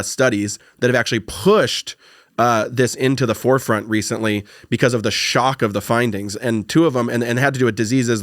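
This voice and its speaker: A man talks quickly and excitedly in a casual podcast chat, his phrases loose and repeated as he builds his point. The sound is dry and close to the mic. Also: mid-range voice, no room sound. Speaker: podcast co-host.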